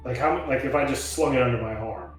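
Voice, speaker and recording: A man's voice: medium pitch, animated, fast talker. A man playing a tabletop game, talking casually with the other players in a very echoey room. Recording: very echoey room, music behind.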